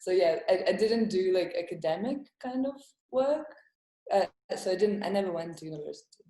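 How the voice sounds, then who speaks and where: A young woman comedian from Estonia, whose first language is Estonian, chatting as an interview guest in a halting, hesitant way with frequent laughs. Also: fairly low pitch, lively intonation, warm tone, reverberant, poor audio. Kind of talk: casual interview.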